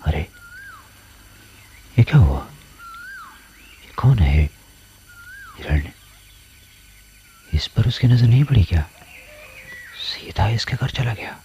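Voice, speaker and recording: A man's voice: lowish voice, very animated, slow pace, pauses often, mellow, muffled recording, a little hiss or hum, exaggerated voice. A man acting an ardent but uneasy village lover in a Hindi radio play, theatrical and fervent.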